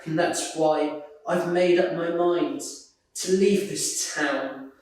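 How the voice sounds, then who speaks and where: A man reading a rhyming children's picture book aloud in a measured, sing-song way. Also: on the high side, steady tone, a little mellow. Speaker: storybook reader. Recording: roomy echo, distant microphone.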